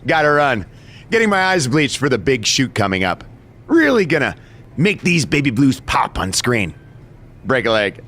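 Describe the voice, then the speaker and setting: A man's voice: mid-range voice, very animated, normal speed, fairly bright tone. A man voicing a vain, smug wrestler turned movie star in a video game cutscene, with a pompous, condescending delivery.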